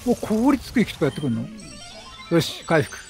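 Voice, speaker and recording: A man giving casual video game commentary, chatty and jokey, reacting out loud with exclamations. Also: on the high side, big pitch swings, fairly quick, soft background music. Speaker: game streamer.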